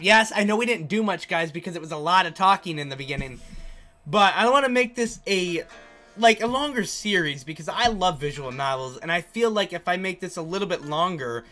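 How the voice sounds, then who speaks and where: A man's voice: high-pitched, bright, forceful tone. A man doing casual, chatty let's play commentary, speaking emphatically and repeating himself as he addresses his viewers. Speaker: gaming YouTuber.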